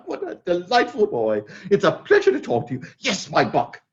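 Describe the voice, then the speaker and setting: A high, swooping old miser's voice, cackling and breathless, bursting with joy, as a man acts him out in a radio-style reading. His pitch leaps all over the place. The dull, lo-fi sound strips away the crispness. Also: huge pitch swings, moderate pace. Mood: delighted. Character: elderly male miser, giddy with joy. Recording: dull lo-fi recording.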